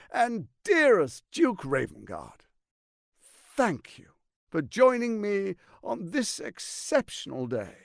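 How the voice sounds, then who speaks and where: A man's voice: sits high, creaky, no echo, studio quality, heavily acted. A man playing a suave, scheming, arrogant tyrant lord in a video game, with a pompous, silky, theatrical delivery. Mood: grandiose welcome.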